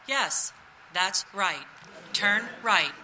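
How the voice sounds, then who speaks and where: A woman voicing a stubborn GPS navigation machine in a live comedy audio play, measured, clipped and insistent. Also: mid-range voice, expressive, bright, forceful tone, heavily acted.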